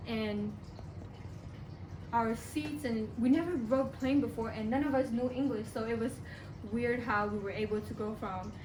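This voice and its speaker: A young woman from Burma giving a class presentation in a halting way, often pausing and restarting. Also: a little high. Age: young adult. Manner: halting, with frequent pauses and restarts. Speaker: college student presenter. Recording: echoey room, distant mic, background noise.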